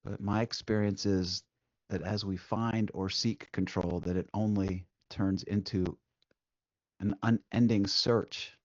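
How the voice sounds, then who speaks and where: Low-voiced man guiding a meditation. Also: fairly even intonation, no echo, lo-fi sound. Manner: gentle, soothing, unhurried with long pauses. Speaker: meditation guide. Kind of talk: guided meditation, spoken instruction.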